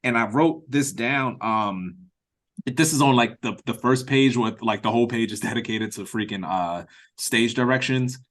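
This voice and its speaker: A man's voice: mid-range voice, normal speed, a bit bright. A man speaking as a playwright leading a read-through on a video call, giving casual, encouraging and meandering feedback. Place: remote meeting over a video call.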